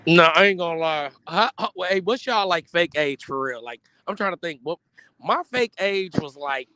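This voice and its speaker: A man's voice: a little high, moderate pace, clear, resonant tone, no echo. Dramatic, slangy American man in casual banter. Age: 30s. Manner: animated, dramatic mock protest.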